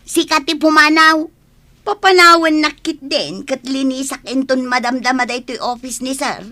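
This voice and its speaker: A woman's voice: high voice, fairly even intonation, moderate pace, bright, forceful tone. A woman with an Ilocano accent playing a lazy, gluttonous, brazen office cleaner in a radio comedy. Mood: gleeful.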